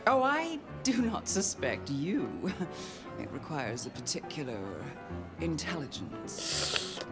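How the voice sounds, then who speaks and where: An actress playing a haughty, condescending vampire crime boss in dramatic, deliberate video game dialogue. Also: female voice, low-pitched, wildly swooping pitch, over loud music, full character voice. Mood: coolly condescending.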